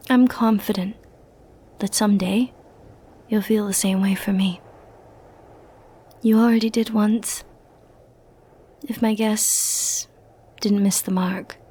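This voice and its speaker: A woman playing a powerful, flirty and possessive sorceress in an ASMR roleplay, speaking softly and intimately with theatrical, teasing, condescending turns. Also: mid-range voice. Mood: tender, intense. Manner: soft, intimate, teasing, theatrical delivery with breathy murmured asides.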